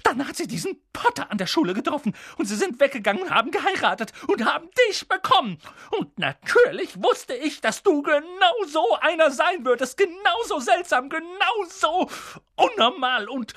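A reader doing a shrill, ranting bitter aunt. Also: female voice, sing-song, fairly bright tone. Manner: shrill, spiteful, rushing tirade. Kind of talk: audiobook reading.

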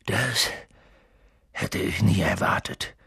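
A reader voicing a huge, gruff half-giant man who blusters loudly but turns hushed and worried. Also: mid-range voice, very animated, normal speed, no room sound, clean studio sound, full character voice. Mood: low worried. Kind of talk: audiobook reading.

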